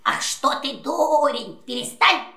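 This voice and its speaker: A woman's voice: high voice, expressive, slow pace, pushed and bright, echoey room, exaggerated voice. A woman voicing a fussy, gushing hen in a children's audio play. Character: fussy, chatty female hen, gracious fairy-tale guest.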